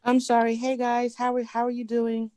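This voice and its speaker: A woman's voice: on the high side, completely flat delivery, moderate pace, mellow, clean tone. A woman from New Jersey, a sewing student chatting casually on a group call. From New Jersey, United States. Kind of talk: casual chat.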